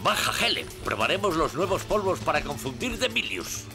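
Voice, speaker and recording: Cartoon gnome uncle: kindly, reassuring, hugely animated intonation, loud music underneath. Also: male voice, on the high side, normal speed, creaky. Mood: urgent. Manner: explanatory, reassuring, expressive. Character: kindly, wise adult male gnome uncle. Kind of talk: cartoon dub acting.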